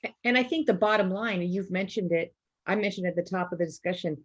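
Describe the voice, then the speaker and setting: A woman panelist speaking over a video call in a measured, pragmatic way. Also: on the low side. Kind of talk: panel discussion. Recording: muffled video call audio.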